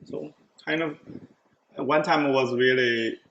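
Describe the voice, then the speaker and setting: A man's voice: mid-range voice, unhurried, fairly bright tone, dull audio, a little hiss or hum. A middle-aged man from China with a Chinese accent, chatting casually about his life and laughing often. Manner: chatty, often breaks into laughter mid-sentence. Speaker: show host telling his story. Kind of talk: casual conversation.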